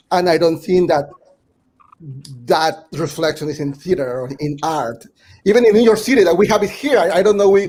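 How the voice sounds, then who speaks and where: A fairly high-voiced man from Mexico speaks English on a video call. His manner is earnest and halting, and he often restarts his sentences. He talks as a panelist in a casual discussion. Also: darkish tone. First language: Spanish. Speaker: panelist, theatre creator.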